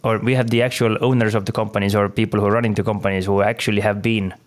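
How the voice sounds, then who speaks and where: A man hosting a podcast in relaxed conversation who laughs easily and uses many fillers. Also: lowish voice, rapid-fire. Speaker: podcast host. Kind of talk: casual interview talk.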